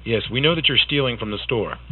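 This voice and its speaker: A man's voice: mid-range voice, quick pace, slightly rough, natural voice. A man playing a stern, accusing loss prevention manager in a prank phone call. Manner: accusing, intimidating. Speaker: prank caller.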